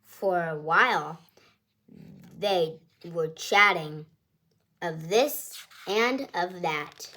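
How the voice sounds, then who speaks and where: A woman's voice: lowish voice, drawn-out words, pauses often, sharp tone. A woman hosting her own channel, reading a picture book aloud to children in a cheerful, chatty, singsong storytelling way.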